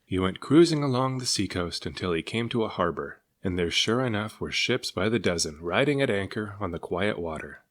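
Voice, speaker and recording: A man reading a children's story aloud as narrator, in an expressive manner. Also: on the low side, normal speed, few pauses. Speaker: storybook reader.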